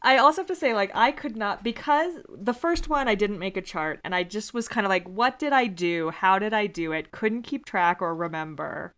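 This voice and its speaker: A woman's voice: mid-range voice, normal speed, hardly any pauses, fairly bright tone. A woman co-hosting a casual podcast chat, talking in a playful, irreverent, unfiltered way. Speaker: podcast co-host.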